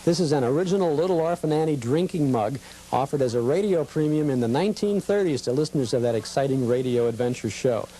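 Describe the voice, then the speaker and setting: A man's voice: medium pitch, mellow, a little hiss or hum, rough recording. A man hosting a conversational television interview, recorded in a home. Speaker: host. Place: guest's home.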